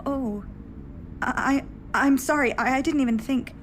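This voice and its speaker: A woman's voice: high voice, a little slow, a little hiss or hum. A woman playing a fragile, tender lost love in an audio drama, with a hesitant, emotional delivery. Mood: hurt, apologetic.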